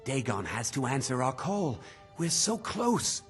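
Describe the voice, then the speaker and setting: A man's voice: medium pitch, very animated, measured pace. An actor playing an intense, zealous male collector of cult relics in a fantasy video game, with a portentous manner. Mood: fervent. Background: loud game music.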